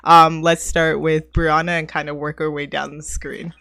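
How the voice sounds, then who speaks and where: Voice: medium pitch, level tone, normal speed, creaky, bright, forceful tone, dry recording. Casual podcast host with a close, dry sound. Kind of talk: casual interview.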